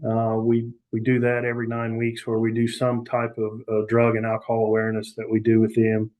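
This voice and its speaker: A man presenting on a webinar over a video call, halting with many fillers and restarts. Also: medium pitch, monotone, phone-quality sound. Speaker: youth prevention educator.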